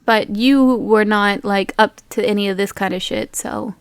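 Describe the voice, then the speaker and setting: A woman's voice: medium pitch, steady tone, unhurried, clear, resonant tone, no room sound. A woman acting as game master, chatting casually as she improvises and rules on a tabletop game.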